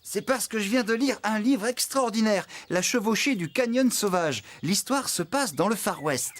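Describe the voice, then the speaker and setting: An actor playing an excitable, good-natured, dreamy farmhand man in a children's cartoon, earnest and theatrical. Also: high voice, normal speed. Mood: enthusiastic, dreamy.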